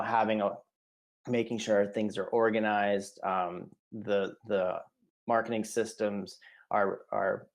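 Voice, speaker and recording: A hoarse man gives a casual interview answer in a lowish voice. He rambles, restarting sentences and leaning on fillers. His voice is wearing out, and he clears his throat now and then. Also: rough recording. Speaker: marketing director, podcast guest.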